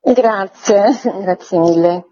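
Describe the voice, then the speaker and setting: A woman giving measured, formal explanations as an interview guest. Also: medium pitch, mellow. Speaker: museum director, interview guest. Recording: slightly dull, lo-fi line.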